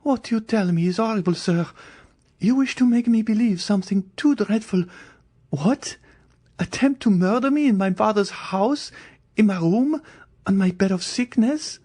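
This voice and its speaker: A man voicing a frightened, sickly young noblewoman who pleads in a high, breathless voice. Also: expressive, normal speed, mellow.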